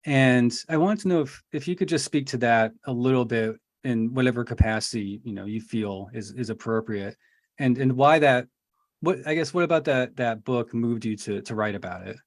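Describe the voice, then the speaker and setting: A man's voice: mid-range voice, normal speed, dry recording, clean studio sound. Man hosting, hesitant with his questions. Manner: hesitant, repeats words as he frames his questions. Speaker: podcast host. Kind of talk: casual interview talk.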